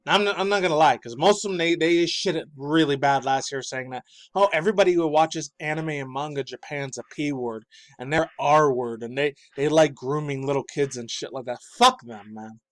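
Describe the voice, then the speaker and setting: A man's voice: fairly high pitch, normal speed, no room sound. Furious profane man ranting close to the mic. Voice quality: raspy. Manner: profane, loud and heated, swings between shouting and muttering. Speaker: pop culture news commentator. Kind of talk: angry ranting commentary.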